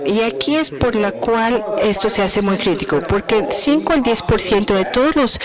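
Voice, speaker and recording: A man interpreting a medical lecture live into the microphone, with a halting delivery full of restarts and self-corrections. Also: sits high. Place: conference auditorium. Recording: audience noise in a conference hall.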